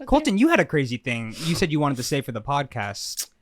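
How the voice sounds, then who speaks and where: A male podcast co-host riffing in casual banter. He teases and improvises with big pitch swings, recorded dry and close. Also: wildly swooping pitch, quick pace, dry recording. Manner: teasing, improvisational.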